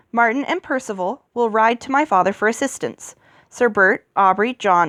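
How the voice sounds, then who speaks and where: A woman's voice: mid-range voice, no echo, clean studio sound, lightly acted. A woman voicing a confident, courteous young prince in a storybook reading, with an assured, commanding manner. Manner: assured, orderly speech.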